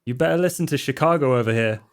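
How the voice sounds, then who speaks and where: A man's voice: medium pitch, fast. A man playing a tabletop game, improvising dialogue in character and saying what his character does.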